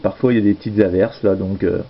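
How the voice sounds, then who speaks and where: A man's voice: lowish voice, quick pace. A man chatting casually over amateur radio, speaking hesitantly and repeating himself. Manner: hesitant, repetitive, trailing tag words. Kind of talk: casual radio contact chat. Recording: dull lo-fi audio with steady noise.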